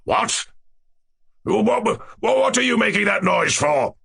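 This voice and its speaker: A man voicing a tyrannical, boisterous king from a classic story, with a rough, imperious, blustering delivery. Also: on the high side, sing-song, measured pace, sharp tone, voice pushed up, exaggerated voice. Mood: furious. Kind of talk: dramatic reading of a classic story.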